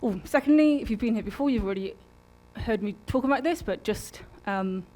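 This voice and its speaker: Informal, halting woman giving a public lecture. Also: a little high, lively intonation, a little mellow, poor audio. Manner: informal, halting, self-interrupting. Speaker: public programs manager and lecturer. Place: live public lecture at an aquarium. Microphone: microphone for live streaming.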